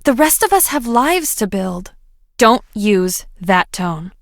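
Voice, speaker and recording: A woman's voice: mid-range voice, very animated, slow pace, no echo, studio quality. An actress voicing a guarded, brainy young woman scientist from the country in a video game, earnest and folksy in her phrasing. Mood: defensive, resolute. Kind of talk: video game character voice lines.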